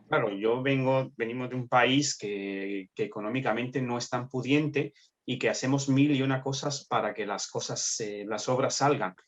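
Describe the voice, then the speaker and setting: Guatemalan man, panel guest, even mid voice, reflective and emphatic. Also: mid-range voice, dull audio. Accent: Guatemalan Spanish. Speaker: panel guest, theater director. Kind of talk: online panel discussion.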